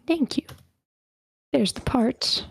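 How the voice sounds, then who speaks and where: Slow-paced woman hosting an online meeting. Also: sits high, vocal fry, no echo. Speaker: host of an online table read. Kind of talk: casual hosting, organizing readers.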